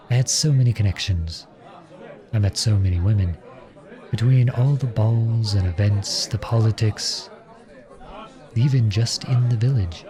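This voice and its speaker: Male actor as exiled soldier prince, earnest and lovesick, very slow delivery, soft-spoken, audio roleplay drama, crowd murmur behind. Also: fairly low pitch, natural voice. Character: outlawed crown prince serving as soldier, wistful, lovestruck, earnest.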